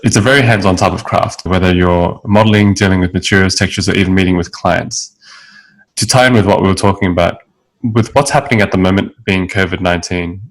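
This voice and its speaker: A man's voice: deep voice, poor audio. A young man, hosting an interview and asking earnest, long-winded questions. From Australia. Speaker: podcast host.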